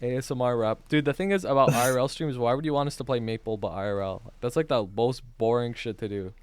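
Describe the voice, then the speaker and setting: Smooth-voiced, blunt young man chatting. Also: medium pitch, fairly even intonation, fast talker, no room sound. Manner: blunt, sweary, slangy. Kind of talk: casual chat.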